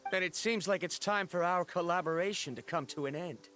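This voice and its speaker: A man as a high-voiced, formal scholar. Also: brisk, over music, lightly acted. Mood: cold, insistent. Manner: formal, precise, argumentative. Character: frank, stubborn, learned male scholar traveler. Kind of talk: video game character acting.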